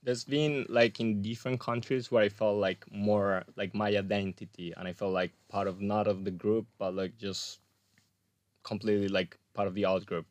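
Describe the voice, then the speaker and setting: A young man from Colombia speaks in a relaxed interview, pausing often and restarting his sentences. His voice sits a little low. The recording is dry and close. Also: no echo. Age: young adult. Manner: hesitant, many fillers and restarts. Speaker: graduate student guest. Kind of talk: casual interview chat.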